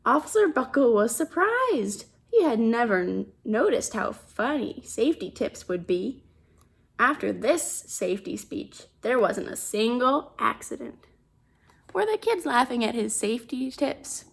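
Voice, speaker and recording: High-voiced, unhurried woman reading a storybook. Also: vocal fry, breathy edge. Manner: expressive, pauses to comment and ask questions. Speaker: children's storybook reader, teacher. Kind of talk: animated picture-book read-aloud for children.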